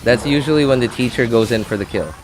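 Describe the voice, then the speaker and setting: A man's voice: mid-range voice, fast, music in the background, poor audio. A man hosting a live game stream, talking casually and thinking aloud as he answers viewers, often repeating his phrases.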